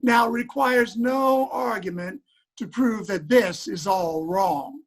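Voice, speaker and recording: A man's voice: very high-pitched, slow, full character voice. A man playing a pompous, disapproving nineteenth-century newspaper writer in a declamatory stage reading. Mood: scornful. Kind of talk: reader's theater recitation.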